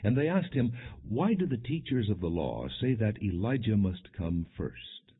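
A man reading scripture as an audiobook narrator, in a solemn, measured, scripted storytelling style. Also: on the low side, sing-song, slightly rough. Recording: muffled, narrow phone-like audio.

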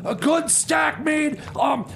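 A man playing a high-pitched, frazzled mechanic. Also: slow pace, a bit bright, exaggerated voice. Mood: rambling, earnest. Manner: stammering, repetitive, flustered. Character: frazzled, rambling mechanic and time traveller Jeb. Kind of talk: comedy radio theater, semi-improvised.

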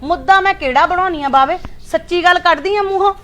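A woman plays an aggrieved older mother who feels her son never listens to her. She talks in a somewhat high voice with a reproachful edge, over a faint hiss of background noise. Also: animated, a little fast. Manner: reproachful, grows heated and loud. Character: complaining older mother, quick to take offence. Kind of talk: family drama acting.

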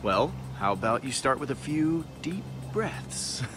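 A man's voice: medium pitch, sing-song, a little slow. A man voicing a friendly, easygoing young adventurer sidekick in a video game, casual, wry and sympathetic. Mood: concerned. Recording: music under the dialogue.

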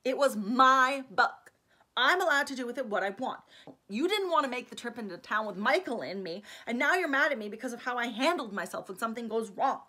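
A fiery young heroine voiced by a woman reading aloud, defiant and emotional. Her voice is bright and ringing, and she argues back hard. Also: on the high side, animated, fairly quick, voice pushed up. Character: headstrong young woman with hidden powers.

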